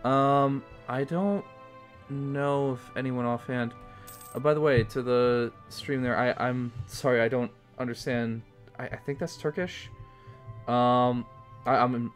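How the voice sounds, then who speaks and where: A man's voice: mid-range voice, steady tone, unhurried, soft background music. A man streaming a strategy game, talking in a hesitant, polite way with frequent restarts. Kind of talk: casual multiplayer voice chat.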